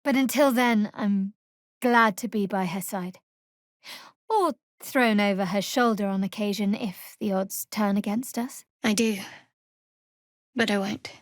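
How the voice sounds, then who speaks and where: A woman playing a guarded, sardonic young cleric of a dark goddess in a fantasy game, speaking in a measured, dry, wry way. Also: medium pitch, no room sound, close to an everyday voice. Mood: guarded, earnest. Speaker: video game voice actor. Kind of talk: scripted fantasy game dialogue.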